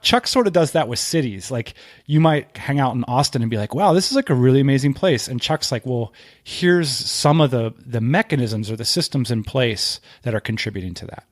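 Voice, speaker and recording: A man's voice: mid-range voice, brisk, no echo, studio quality. A man hosting a podcast, introducing the show in a conversational way. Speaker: podcast host.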